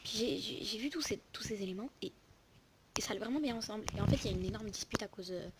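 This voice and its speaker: A woman's voice: medium pitch, quick pace. A young woman casually commentating a game lookbook as host, rambling and interrupting herself, on a poor recording with noise and clicks. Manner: rambling, informal, self-interrupting. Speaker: video host.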